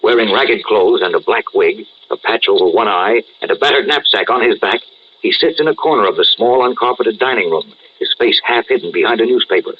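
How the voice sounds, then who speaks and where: A man's voice: lowish voice, animated, a little fast, highs cut off, slight background noise. A man narrating a 1940s-style radio serial as its announcer, with dramatic urgency. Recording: old radio broadcast recording.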